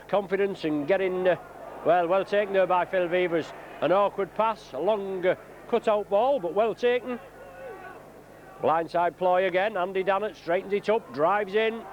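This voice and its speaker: A man giving live rugby league commentary, slightly croaky from a cold, calling play by play with frequent "uh" fillers. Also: high-pitched. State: croaky from flu. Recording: dull lo-fi broadcast tape with noise.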